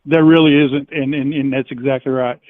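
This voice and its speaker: A man's voice: mid-range voice, fairly even intonation, fairly quick, no room sound. A man calling in to a live stream, hesitant and rambling, stumbling over his words and laughing uneasily. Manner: hesitant, rambling, lots of fillers and restarts. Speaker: caller. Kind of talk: phone-in conversation. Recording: tinny phone line.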